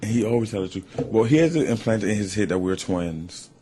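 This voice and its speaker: A man's voice: fairly low pitch, lively intonation, fairly quick, warm tone, slight background noise. An American man in his twenties chatting casually and telling anecdotes as a guest on a radio show. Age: 20s. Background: music.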